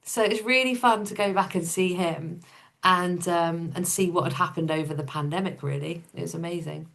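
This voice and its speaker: A woman's voice: lowish voice, normal speed, gravelly, fairly bright tone. A woman guest on a podcast, telling her own story in a casual, matter-of-fact, informal way.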